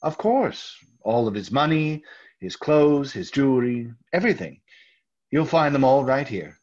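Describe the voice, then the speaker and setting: A man playing a nervous, overpolite murderer hiding his guilt, halting and husky, in old-time radio theater style. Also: medium pitch, big pitch swings, darkish tone. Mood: smooth, evasive. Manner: halting, over-courteous, stammering. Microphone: video call. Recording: dull, lo-fi video call audio.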